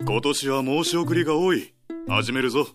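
A man's voice: mid-range voice, lively intonation, normal speed, lightly acted. A man playing a stern, rule-bound tiger officer in an audio drama, curt and businesslike. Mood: brisk, stern. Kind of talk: Japanese audio drama acting. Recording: music bed behind the voice.

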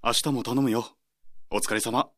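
An actor playing a weary, gentle married office manager in a radio drama, soft-spoken and hesitant. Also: male voice, medium pitch, fairly even intonation, unhurried. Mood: tired, grateful. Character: weary married male department manager, gentle, apologetic, secretly in love. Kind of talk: Japanese radio drama dialogue and inner monologue.

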